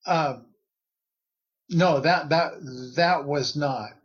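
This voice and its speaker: A man giving casual explanatory commentary as a guest analyst, measured and tentative, on a slightly lo-fi recording. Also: a little high, breathy edge. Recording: slightly dull, lo-fi sound.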